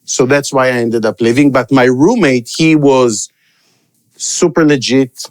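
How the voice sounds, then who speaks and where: A man's voice: mid-range voice, a little slow, darkish tone. A middle-aged man from Israel, raised in Africa, talking about his career as a guest in an interview, reflective and rambling, with frequent restarts. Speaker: guest, sales expert. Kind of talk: storytelling interview answers.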